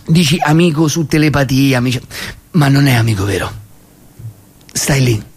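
A man performing a comic stage monologue as a nostalgic, indignant old grandfather with a Roman accent, ranting in repetitions and halting pauses. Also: mid-range voice, husky, lightly acted. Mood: nostalgic, rueful. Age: older. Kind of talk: theatrical comic monologue reading.

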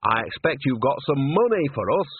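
A man voicing a cheerfully pushy old witch woman, folksy and bright in manner, in a storybook reading. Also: medium pitch, big pitch swings, moderate pace, husky, no room sound, narrow, tinny audio, pitched above the performer's own voice.

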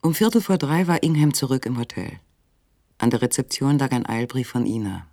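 A man narrating a literary suspense radio play in a measured, controlled manner. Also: medium pitch, expressive, warm tone, no echo, natural voice. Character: third-person narrator of a literary suspense story. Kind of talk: scripted radio drama narration.

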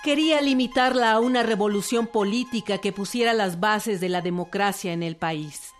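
A woman's voice: medium pitch, moderate pace. A woman with a Mexican accent reading scripted documentary narration. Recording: music behind the voice. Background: music.

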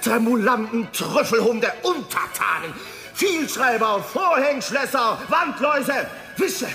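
An indignant orator declaims scornfully in a bright, forceful voice with lively pitch. The radio-play tirade is slow and emphatic, each insult set apart. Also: faint music behind, full character voice. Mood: scornful, escalating. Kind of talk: theatrical literary recitation for radio.

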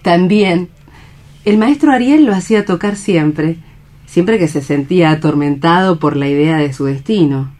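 Actress playing young woman, lowish pitch, earnest, tender, lyrical, emotive, radio play reading. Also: a little slow, darkish tone, lightly acted. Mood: reverent. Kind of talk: radio theatre dramatic reading.

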